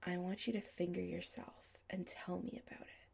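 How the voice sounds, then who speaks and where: Woman putting on a calm, commanding man. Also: vocal fry, husky, in a whisper, exaggerated voice. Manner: low, quiet, measured orders.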